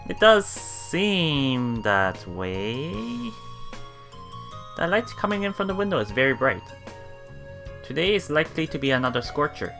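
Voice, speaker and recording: A game streamer reads a visual novel aloud with a lively, swinging pitch. They muse casually between passages, thinking out loud. Soft music plays behind the voice. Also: a little slow, long pauses. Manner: musing, often thinking aloud. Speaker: let's player, narrator.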